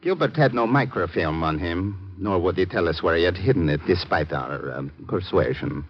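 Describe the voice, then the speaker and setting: A hissy, muffled old radio recording of a menacing enemy spy chief. The voice is low and measured. He speaks with formal mock courtesy, savoring his threats. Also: expressive. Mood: veiled menace. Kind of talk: 1950s radio drama acting.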